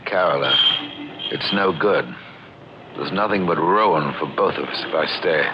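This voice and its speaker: A noisy, dull vintage radio recording carries a deep-voiced, brooding man. He is a secretive killer in a thriller, grave and terse, grimly resigned. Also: measured pace. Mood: bleak, resigned. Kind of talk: old-time radio thriller acting.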